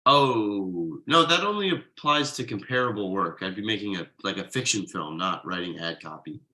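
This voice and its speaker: A man's voice: sits low, animated, normal speed, bright, forceful tone, exaggerated voice, low-quality recording. A man playing an exasperated, wronged friend and young father in a comedy table read, stammering and incredulous in an emphatic, conversational way. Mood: flustered.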